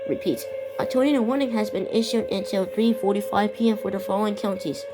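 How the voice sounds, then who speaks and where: A woman's voice: medium pitch, quick pace, rough recording. A young woman narrating a made-up emergency scenario and reading out warnings, stumbling over and repeating words. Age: teenager or young adult. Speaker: amateur video creator narrating a fictional emergency alert scenario. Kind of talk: improvised narration mixed with read-out weather warnings. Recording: loud music behind the voice. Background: loud music.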